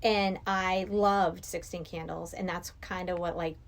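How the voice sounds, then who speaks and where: Middle-aged female podcast guest, voice a bit on the low side, enthusiastic casual film chat. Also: steady tone, unhurried. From Massachusetts, United States. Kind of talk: casual movie discussion.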